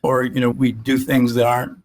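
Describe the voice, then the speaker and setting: A man's voice: mid-range voice, completely flat delivery, fairly quick. A man in his sixties, a spine surgeon raised in Alaska, talking through his past in a rambling way with many restarts. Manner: rambling with frequent restarts and repeated words. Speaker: guest, orthopedic spine surgeon. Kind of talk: reflective interview conversation.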